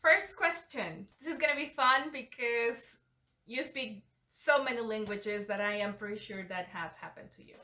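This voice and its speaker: A woman's voice: a little high, moderate pace, sharp tone. A woman whose first language is Spanish, hosting a casual drinking-game chat and laughing often between lines. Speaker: YouTube channel host. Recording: echoey room.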